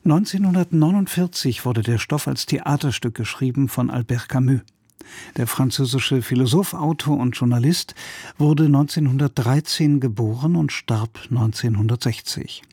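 A man presenting a radio play with a formal, scripted introduction and deliberate phrasing. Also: mid-range voice, warm tone, slightly rough, no room sound, studio quality.

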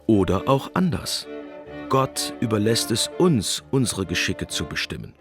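A reflective male narrator with a low voice speaks over prominent music. He is measured and poses rhetorical questions, in the style of a radio documentary drama. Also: big pitch swings. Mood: reflective, questioning. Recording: music behind the voice.

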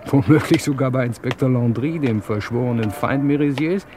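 A man's voice: mid-range voice, normal speed, close to an everyday voice. An actor playing a nervy, scheming accomplice of a gangster in an old German radio crime drama, theatrical in his musing. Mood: nervous musing. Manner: theatrical, chuckling, musing aloud. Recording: noisy vintage radio play recording.

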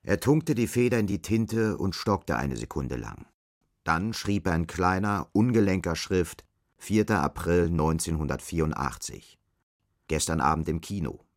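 An actor playing a sober storyteller, narrating a bleak dystopian radio drama in a measured, matter-of-fact manner. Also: male voice, low voice, no room sound, studio quality.

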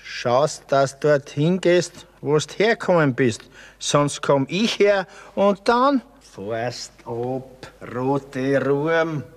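A man's voice: a little high, expressive, in a large hall. An actor playing a rude, threatening country man with an Austrian accent and a coarse manner in a radio play. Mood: gruff, threatening. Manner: coarse, drawn-out, menacing. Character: gruff, rude rustic man, curtly threatening.

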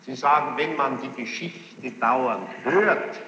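An archival, muffled courtroom recording with heavy reverb and clear noise. A man speaks as presiding judge. He questions formally and insistently, laying out each point deliberately. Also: medium pitch, pushed and bright. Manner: formal, probing, deliberate phrasing with insistent recapitulation. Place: large reverberant courtroom with gallery. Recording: noisy, muffled 1960s courtroom tape, echoing hall.

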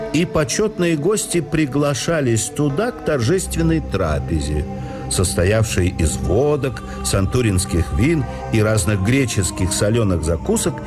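A man reading a memoir aloud as a scripted radio narration, with a measured storytelling delivery. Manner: measured, storytelling delivery with dips and lifts in pitch.

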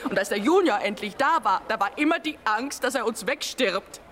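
A woman's voice: a little high, animated, brisk, a bit bright. An actress in a radio drama playing an emotional, outspoken mother who stammers and rambles in agitation. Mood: confiding, nervous. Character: emotional, outspoken ordinary mother, indignant and flustered. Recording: noisy outdoor crowd scene.

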